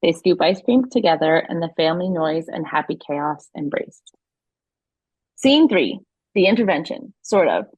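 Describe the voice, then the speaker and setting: A woman's voice: on the low side, expressive, moderate pace, darkish tone, breathy edge, dry recording, low-quality recording. A woman narrating an audio play, reading scripted stage action and scene settings.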